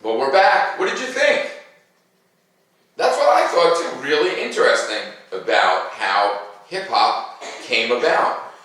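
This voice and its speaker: A man in his fifties presents picture books aloud for children. His voice is medium in pitch with a bright, forceful edge. He phrases expressively and leans on key words. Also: sing-song, a little slow, husky, poor audio. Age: 50s. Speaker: read-aloud host.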